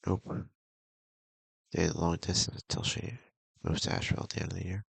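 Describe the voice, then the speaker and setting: A man's voice: sits low, fairly even intonation, fairly quick, vocal fry, husky, no room sound, exaggerated voice. A man voicing an earnest, bewildered young male friend in a visual novel, plain and conversational, with music behind. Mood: earnest, puzzled.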